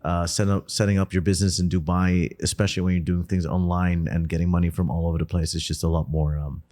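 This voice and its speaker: A young man from the Netherlands, Dutch by first language, runs a casual podcast in English. His voice sits low, but it lifts when he gets curious, and he laughs often while he talks. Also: flat delivery, fast, a little mellow. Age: 20s. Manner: chatty, laughing, rising into higher pitch when curious. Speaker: podcast host.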